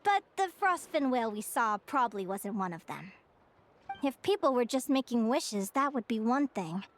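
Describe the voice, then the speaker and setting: Woman as high-voiced earnest young naturalist. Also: animated, normal speed, slight background noise, close to an everyday voice. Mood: concerned. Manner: articulate, explanatory, earnest. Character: young female naturalist, earnest, curious, gentle animal lover. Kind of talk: scripted video game character dialogue.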